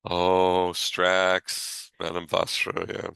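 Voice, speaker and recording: A man's voice: sits low, monotone, extremely slow, gravelly, a bit bright, clean tone, no echo. A man co-hosting a casual podcast, giving his opinions emphatically and repeating phrases to make his point. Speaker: podcast co-host.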